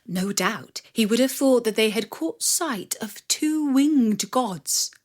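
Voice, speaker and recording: A woman narrating a children's story from a script with expressive, dramatic delivery. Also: on the high side, takes it slowly.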